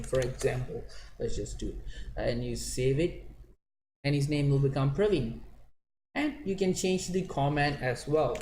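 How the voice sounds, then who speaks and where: A young man from Malaysia walks viewers through a computer screen step by step, his pitch sitting a touch high for a man. The sound is roomy and echoey, with a faint hiss underneath. He talks casually and loops back over his own phrases as he clicks. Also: darkish tone. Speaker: online marketing tutor. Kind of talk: screen-share tutorial walkthrough.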